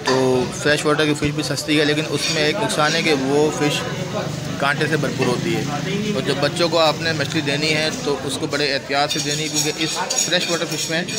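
A man's voice: fairly high pitch, little pitch movement, fairly quick, low-quality recording. A man with a Pakistani Urdu accent, filming a fish market on a handheld phone, explaining each fish and calling out to sellers for prices. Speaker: market vlogger. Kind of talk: walk-through market vlog. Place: busy indoor fish market. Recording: loud crowd noise behind. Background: loud market crowd.

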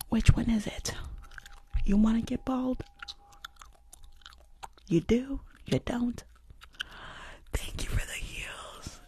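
A woman's voice: mid-range voice, mellow. Whispering young woman doing ASMR. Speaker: ASMR creator and livestream host. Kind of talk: whispered ASMR roleplay and livestream chat. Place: small indoor room at home. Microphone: close desktop microphone, earphones on.

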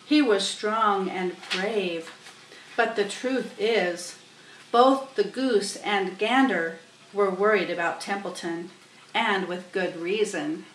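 A woman's voice: mid-range voice, slow pace, slight background noise. A middle-aged woman narrating a children's story aloud, recorded on a webcam in a small room. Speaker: storybook reader. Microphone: webcam a little way off. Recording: roomy, distant webcam microphone.

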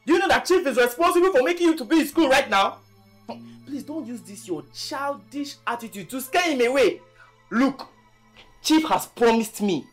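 A woman's voice: high-pitched, lively intonation, moderate pace, faint music behind. An actress playing a bossy young woman who reproaches her brother sharply. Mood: scolding. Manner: reproachful, scolding. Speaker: daughter.

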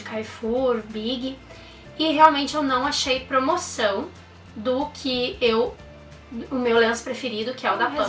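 A woman's voice: high voice, bright, forceful tone, roomy sound, distant mic. A young woman, reviewing baby products in a chatty, enthusiastic way and using lots of diminutives. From Brazil. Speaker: mom vlogger. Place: indoor room.

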